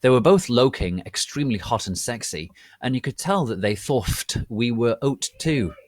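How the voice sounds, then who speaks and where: A man's voice: medium pitch, animated, moderate pace, no echo, natural voice. A man voicing a melodramatic, bratty goth teenage girl who narrates her story in a theatrical, petulant, emphatic way. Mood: gushing, haughty. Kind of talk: dramatic reading of amateur fan fiction.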